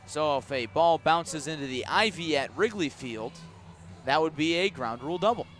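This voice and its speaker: A man doing live radio play-by-play of a baseball game, giving measured calls mixed with explanatory asides and dry understatement. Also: a little high, a bit bright, faint music behind. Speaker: baseball play-by-play announcer for a team's radio broadcast. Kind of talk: live radio sports commentary. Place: outdoor ballpark at night.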